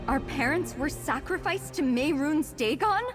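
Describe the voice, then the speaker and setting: A woman's voice: high voice, expressive, measured pace, over music. A woman playing a troubled young woman with dangerous magic in a fantasy game, speaking in a grave, uneasy manner. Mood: alarmed disbelief. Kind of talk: dramatic fantasy game acting.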